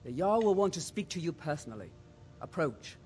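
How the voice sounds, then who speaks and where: Low, stern fantasy bodyguard. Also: female voice, big pitch swings, a little fast, a little mellow, breathy edge, soft background music, full character voice. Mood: stern, guarded. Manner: commanding, formal. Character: stern, suspicious armed bodyguard to a lord.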